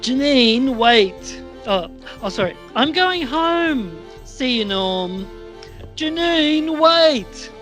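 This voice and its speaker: A man's voice: extremely high pitch, lively intonation, drawn-out words, a bit bright, faint music behind, voice pushed up, full character voice. A man playing a frustrated young man in an amateur radio play, pleading and exasperated.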